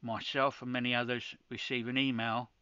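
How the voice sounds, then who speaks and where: A man's voice: mid-range voice, level tone, normal speed, bright, forceful tone, smooth, no echo, dull audio. A man from the UK hosting a ham radio channel, walking viewers through a setup step by step in a practical tutorial, with frequent restarts. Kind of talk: screen-recorded tutorial.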